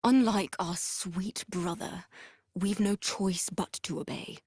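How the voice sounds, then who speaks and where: A woman voicing a formal, resigned female vampire spawn in a dramatic video game, with a grave, measured delivery. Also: medium pitch, darkish tone, breathy edge, no room sound, clean studio sound. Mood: grave resignation.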